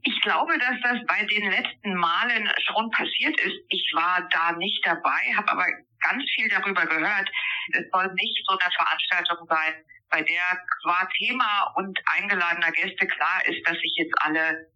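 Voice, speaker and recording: A woman from eastern Germany, an author answering interview questions thoughtfully, pausing and restarting as she searches for words. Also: on the low side, bright, forceful tone, muffled recording.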